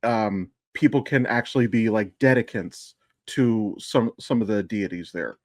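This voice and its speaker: A man's voice: lowish voice, a little slow, dry recording. Rambling man in casual podcast chat, dry recording. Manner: rambling, digressive storyteller. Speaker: podcast co-host and amateur voice actor.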